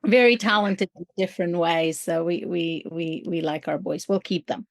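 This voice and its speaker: Low-voiced woman with an Albanian accent. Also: fairly even intonation, normal speed, no room sound, clean studio sound. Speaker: podcast guest, ministry field coordinator. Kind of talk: conversational personal storytelling in an interview.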